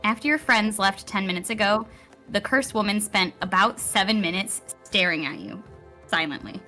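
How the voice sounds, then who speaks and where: A woman's voice: mid-range voice, normal speed, pushed and bright. A woman narrating a live tabletop roleplaying game as game master, with theatrical storyteller phrasing. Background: music.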